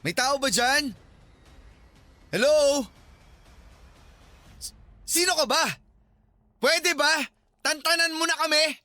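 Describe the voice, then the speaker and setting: A man's voice: very high-pitched, slow pace, pauses often, sharp tone, exaggerated voice. A man with a Filipino accent playing a young vlogger boyfriend, earnest and uneasy, in a radio horror drama. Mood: frightened, wary. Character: young male vlogger boyfriend, persuasive, uneasy but protective.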